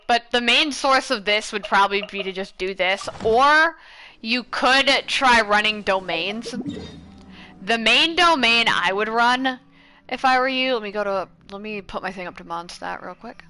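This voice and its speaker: A woman's voice: medium pitch, bright, forceful tone. A young woman chatting casually as she gives gameplay advice, rambling and thinking aloud. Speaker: gaming content creator. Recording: game music behind.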